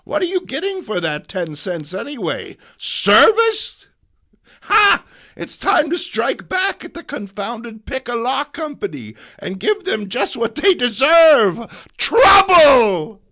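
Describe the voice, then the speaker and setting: A man's voice: extremely high pitch, very animated, slow pace, bright, forceful tone, voice pushed up, full character voice. A man voicing a feisty, righteous older woman with old-fashioned, exclamatory talk in a comic book reading. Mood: defiant. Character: feisty, righteous old woman crusader, sweet but indignant.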